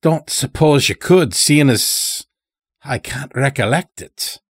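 A male narrator plays a stout old farmer in a story, sly and easygoing. He speaks in a folksy drawl, slow and husky, stretching his words. The pitch swings widely and the sound is close and dry. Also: lively intonation, dry recording. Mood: amused, sly.

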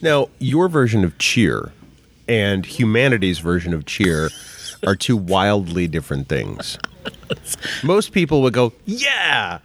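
A man's voice: fairly low pitch, wildly swooping pitch, takes it slowly. A man hosting a podcast, teasing his co-host in dry, casual banter. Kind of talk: casual chat. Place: hotel room at a convention.

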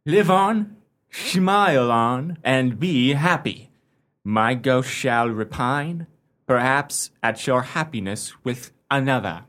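Radio play: timid, lovelorn young suitor, medium pitch, overwrought and self-pitying. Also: male voice, expressive, slow, a bit bright. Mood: wounded, lovesick. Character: melodramatic, cowardly, lovesick young gentleman suitor.

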